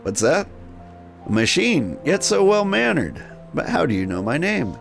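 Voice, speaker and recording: A man voicing a genial, well-mannered gentleman animal dealer in a video game, genteel and kindly. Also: on the high side, very animated, measured pace, gravelly, soft background music, pitched above the performer's own voice, heavily acted. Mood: surprised.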